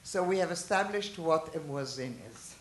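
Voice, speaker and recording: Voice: medium pitch, normal speed, a little hiss or hum. A teacher from Israel, raised speaking Hebrew and Hungarian, giving a chatty informal talk and asking the listeners questions. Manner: chatty, asks the listeners questions, animated asides. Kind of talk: informal lecture to a small group. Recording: roomy echo.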